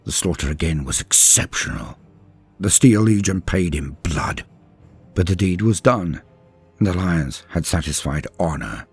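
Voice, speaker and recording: A man delivering dramatic scripted lore narration in a solemn, grave and measured storytelling manner. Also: sits low, darkish tone, slightly rough. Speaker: narrator and host of a lore channel.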